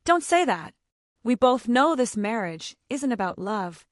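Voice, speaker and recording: A woman's voice: a little high, sing-song, a little slow, no room sound. A woman reads the part of a bitter husband in a bedtime-story narration. He is cold and curt, accusing his wife, in a dry, close recording. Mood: bitter, angry.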